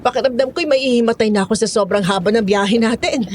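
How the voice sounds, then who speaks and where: A woman's voice: on the high side, fast talker, darkish tone, slight background noise, lightly acted. A woman playing a weary, outspoken cook of fifty in an audio drama, with a Filipino accent and a blunt, complaining manner. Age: 50s.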